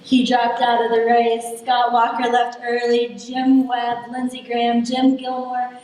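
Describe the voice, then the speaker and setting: Young female lecturer, soft voice, chatty and wry, heavy hall echo. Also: a little high, monotone, unhurried, a little hiss or hum. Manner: chatty, self-deprecating, addresses audience directly. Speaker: political science lecturer. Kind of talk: public lecture. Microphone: distant mic at a lectern. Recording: echoey hall from far off.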